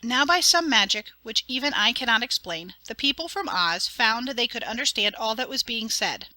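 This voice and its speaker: A woman narrating a fairy-tale audiobook in an expressive dramatic reading. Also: fairly high pitch, normal speed, no echo, low-quality recording. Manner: clear, expressive storytelling.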